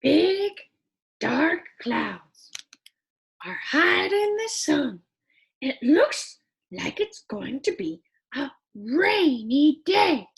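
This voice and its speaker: A woman's voice: high-pitched, sing-song, very slow, creaky, full character voice. A woman voicing an eager childlike puppet friend who reads a picture book to children in an exaggerated, wondering manner. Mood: animated, wondering. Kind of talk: animated children's storybook read-aloud.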